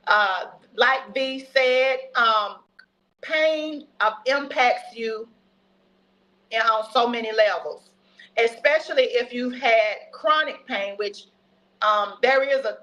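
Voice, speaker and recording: A woman's voice: fairly high pitch, pushed and bright, highs cut off. A woman in her fifties, a registered nurse, chatting about health on a livestream video call in a folksy, upbeat way. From United States. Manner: folksy and upbeat, drops in laughs and Southern colloquialisms. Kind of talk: casual health talk on a livestream.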